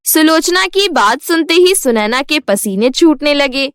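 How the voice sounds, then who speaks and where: A woman's voice: sits high, lively intonation, measured pace, a bit bright, dry recording, clean studio sound. A woman storyteller reading scripted narration for a family cartoon story.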